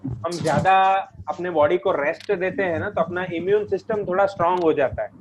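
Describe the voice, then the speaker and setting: A man's voice: high-pitched, brisk. A man leading a group call, giving informal, reassuring advice and explaining step by step, often repeating his points. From India. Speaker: host of a group conference call. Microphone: conference call line. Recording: muffled conference call with heavy background noise.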